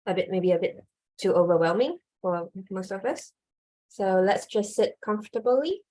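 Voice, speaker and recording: A woman's voice: mid-range voice, darkish tone, poor audio. A woman emcee moderating an online conference over a video call, polite and hesitant with many fillers.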